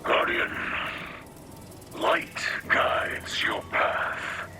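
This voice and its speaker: A man voicing a gentle, solemn alien elder in a game, with a breathy, gravelly whisper and measured, reverent, formal phrasing. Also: exaggerated voice. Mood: grave, foreboding. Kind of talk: scripted game cutscene dialogue. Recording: game audio with music underneath.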